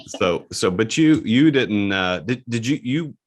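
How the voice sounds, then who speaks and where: A man's voice: lowish voice, expressive, a little slow, slight background noise. A man hosting a podcast, chatting casually with a guest over a video call, rambling with many restarts and easy laughs. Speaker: podcast host. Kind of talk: casual interview chat. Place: home studio, on a video call.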